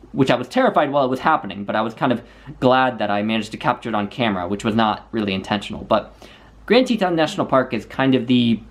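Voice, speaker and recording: A man from the United States, a book video maker, talking through viewer questions in a casual, thoughtful way with frequent small restarts. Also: mid-range voice. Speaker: booktuber answering viewer questions. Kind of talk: casual Q&A monologue.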